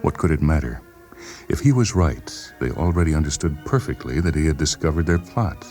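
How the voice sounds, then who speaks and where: A man narrating a short story for radio as host, in a measured, dramatic manner. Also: extremely low pitch, lively intonation, mellow. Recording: voice over background music.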